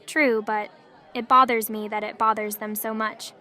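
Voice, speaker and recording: A quiet young keeper from a fantasy audio drama, played by a woman. Her voice sits a little high and she speaks politely, with reserve. A light crowd murmurs in the background. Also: level tone, normal speed. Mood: earnest. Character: young, quiet, modest elven village keeper, female. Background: festival crowd ambience.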